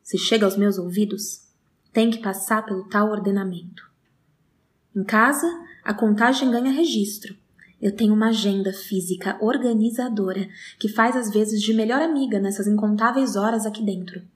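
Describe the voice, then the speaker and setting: Audio drama monologue: actress as solitary, meticulous, obsessive woman, wryly enthusiastic, medium pitch, expressive and confiding. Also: normal speed, natural voice. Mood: precise, contented.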